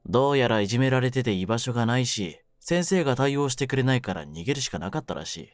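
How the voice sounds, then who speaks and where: A man playing a cynical, wounded young mafia kidnapper in an audio drama, with a low voice and rough, brooding delivery. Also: lively intonation, fast, no room sound, clean studio sound, soft background music, close to an everyday voice. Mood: wistful. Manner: rough masculine slang, taunting, brooding delivery. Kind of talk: Japanese situation-voice audio drama, ASMR.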